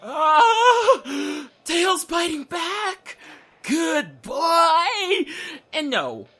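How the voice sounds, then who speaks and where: A smug young male convict played by a man in a comedy audio drama, taunting and theatrical. His voice is bright and fairly high, with lively swings in pitch. Music plays behind him. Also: drawn-out words, heavily acted. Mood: gleeful bragging. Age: young adult. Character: cocky, mouthy young male prisoner, taunting newcomer.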